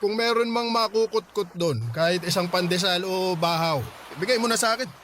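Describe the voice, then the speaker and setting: A man's voice: high voice, a little fast, fairly bright tone, a little hiss or hum. A man voicing a grieving widowed father who grumbles and defends himself in a radio drama. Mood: grumbling. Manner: defensive, sulky. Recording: music bed underneath.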